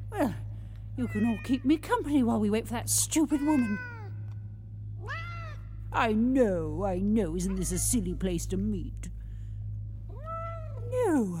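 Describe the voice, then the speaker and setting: A woman's voice: mid-range voice, darkish tone. A British actress playing an exasperated, frightened, foul-mouthed woman alone in an audio drama, emotional and talking to herself.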